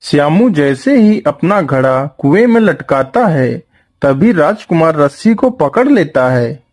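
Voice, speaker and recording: Male narrator for scripted moral tales, expressive pitch swings, light music underneath. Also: unhurried.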